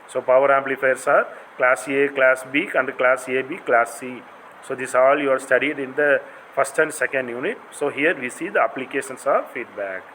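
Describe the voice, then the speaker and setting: Bright-voiced Indian man lecturing over noise. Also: medium pitch, low-quality recording. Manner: didactic, non-native English phrasing. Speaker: college lecturer. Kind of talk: explanatory lecture.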